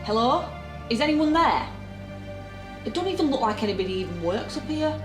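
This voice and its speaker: A woman plays an anxious but plucky female office worker in an audio drama. Her voice is high and very animated, colloquial and easily flustered, in an echoey space with music behind. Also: a little fast. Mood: nervous. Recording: echoey room, music underneath.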